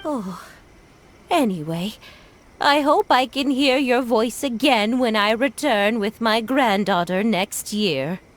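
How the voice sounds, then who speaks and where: Elderly grandmother for a game: gentle, polite, slow delivery with swooping, fairly high pitch. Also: lively intonation, slight background noise. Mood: gentle, wistful. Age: older. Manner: polite, unhurried, reminiscing. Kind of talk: video game voice acting.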